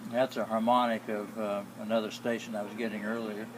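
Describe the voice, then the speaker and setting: A man giving a casual, unscripted demonstration as a hobbyist, speaking in a plain, halting way. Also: on the low side, flat delivery, over loud music, low-quality recording. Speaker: hobbyist radio restorer. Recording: clear background noise with hum.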